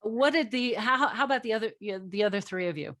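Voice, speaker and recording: A woman's voice: a little high, expressive, fast talker, fairly bright tone. A woman in her sixties hosting a casual panel chat in a joking, self-deprecating way. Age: 60s. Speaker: host, audio drama writer and director.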